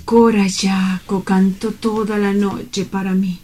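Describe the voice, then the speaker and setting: A woman's voice: medium pitch, level tone, slow pace, warm tone, breathy edge. A woman playing a dreamy, lovelorn young male bank clerk in a radio drama, with wistful, poetic, earnest delivery. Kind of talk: dramatic radio-play monologue.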